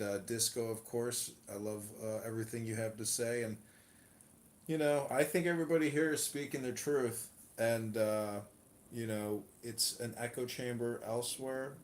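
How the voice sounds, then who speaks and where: Low-voiced man rambling indignantly. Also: echoey room, slight background noise. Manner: rambling, loaded with fillers, indignant. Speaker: political candidate hosting an audio room. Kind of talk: casual political talk.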